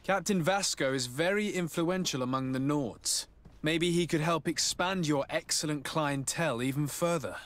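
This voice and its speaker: A man's voice: a little high, normal speed, fairly bright tone, close to an everyday voice. A man playing a composed, courteous noble diplomat in a video game, speaking politely and with discretion. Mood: businesslike, persuasive. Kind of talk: video game cutscene acting.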